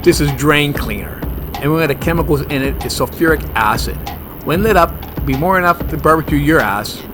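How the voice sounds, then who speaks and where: An actor playing a brash, stubborn, wisecracking monster hunter in a comedy horror audio drama. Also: male voice, fairly high pitch, brisk, over music, close to an everyday voice. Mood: cocky taunting. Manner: brash, argumentative, sarcastic.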